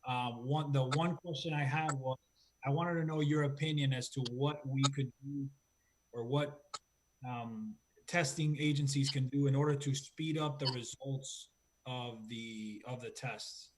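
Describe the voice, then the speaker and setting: A man's voice: mid-range voice, fairly even intonation, measured pace, a little hiss or hum, low-quality recording. A man serving as a councilman, politely thanking the guest and asking a question at a council meeting. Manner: polite, grateful.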